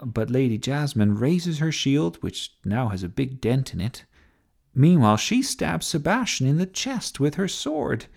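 A man voicing a bossy, excitable young girl in a children's storybook, with a theatrical announcer-like delivery. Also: medium pitch, measured pace, vocal fry, heavily acted. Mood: excited commentary. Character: bossy, excitable young girl giving breathless play-by-play commentary.

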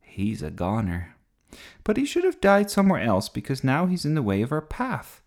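A man's voice: mid-range voice, huge pitch swings, moderate pace, darkish tone, pitched above the performer's own voice. A man voicing a bossy, dramatic little girl at play in a children's storybook, grandly theatrical in manner. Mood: grumbling. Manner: grandly theatrical, mispronouncing big words.

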